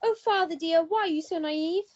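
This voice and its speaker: A woman plays a sweetly innocent young heiress in a radio melodrama. Her voice is extremely high and full of lovelorn drama, on a slightly dull recording. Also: brisk, darkish tone. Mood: despairing. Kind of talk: amateur melodrama radio play acting.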